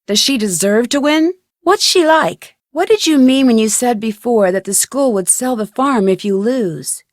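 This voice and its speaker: A woman acts out a young female sleuth from a video game, with a fairly high voice and a close, dry sound. She is polite and inquisitive, putting her questions with open curiosity. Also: expressive, moderate pace, dry recording, lightly acted. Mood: polite, earnest. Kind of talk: video game dialogue acting.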